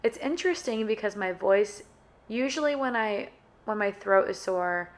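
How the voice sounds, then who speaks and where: Young woman podcaster, sore throat, a bit hoarse, chatting casually like talking to a friend, middle pitch. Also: lively intonation, moderate pace. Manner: chatty and friendly, with many "uh" and "like" fillers. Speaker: podcast host. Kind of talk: casual solo podcast chat.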